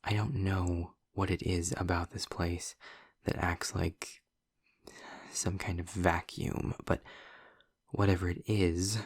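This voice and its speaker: Man playing a slow, gravelly, sardonic demon. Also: level tone, no echo, clean studio sound, heavily acted. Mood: sly, weary. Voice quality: gravelly, husky low voice with breathy edges. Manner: sarcastic, deliberate, drawn-out phrasing. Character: snarky, sardonic male demon trapped in hell. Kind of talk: scripted audio roleplay addressed to the listener.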